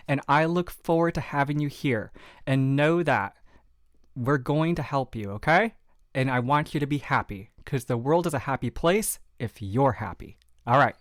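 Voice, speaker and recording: A man's voice: medium pitch, no room sound, studio quality. A man with a Southern American accent hosting a podcast, talking straight to the listener in an upbeat, encouraging, folksy way. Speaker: podcast host. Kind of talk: motivational podcast monologue.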